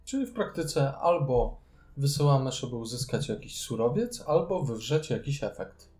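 A man's voice: mid-range voice, expressive, moderate pace, a little mellow. A man in a casual conversational discussion as a podcast co-host.

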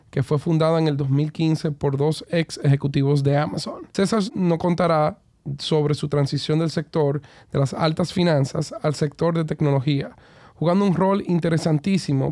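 A man's voice: on the high side, darkish tone, no echo, studio quality. Upbeat man hosting a podcast. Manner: upbeat and enthusiastic, with frequent hesitation sounds. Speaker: podcast host. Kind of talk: podcast interview, reading a guest introduction. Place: recording booth.

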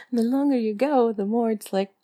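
A woman talking in an intimate, playful ASMR style close to the mic, soft and breathy, often slipping toward a whisper. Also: a little high. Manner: giggly, playful, chatty. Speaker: audio performer addressing the listener. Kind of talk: intimate ASMR, rambling and affectionate.

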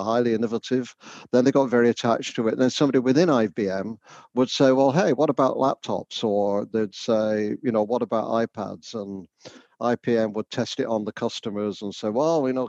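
A man's voice: medium pitch, a little mellow, dry recording, lo-fi sound. A man from the north of England with a Northern English accent, answering interview questions thoughtfully with frequent fillers and restarts. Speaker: education researcher.

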